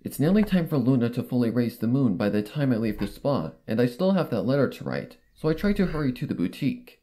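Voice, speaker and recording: A reader voicing an anxious female pony who narrates a horror story in dramatic, expressive storybook style. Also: mid-range voice, quick pace. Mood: anxious dread. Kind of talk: dramatic scripted fanfic narration. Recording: music under the reading, played within video. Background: background music.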